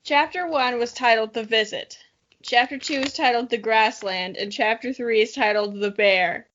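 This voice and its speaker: A woman's voice: a little high, normal speed, fairly bright tone, dull audio, slight background noise. A woman podcast co-host giving a dramatic reading of a childhood story with self-mocking, giggly asides.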